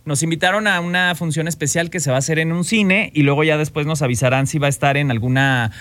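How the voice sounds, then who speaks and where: A man in his forties with a Mexican Spanish accent, hosting a radio gossip show in a chatty, upbeat way with quick asides. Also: on the high side, fairly bright tone. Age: 40s. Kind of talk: casual gossip radio chat.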